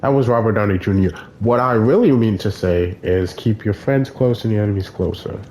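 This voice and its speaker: A man's voice: fairly low pitch, fairly quick, darkish tone, a little hiss or hum. A man streaming a video game, casual and joking, with a nasal, stuffy-nosed voice. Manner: joking, offhand. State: stuffy nose. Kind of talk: casual gameplay commentary.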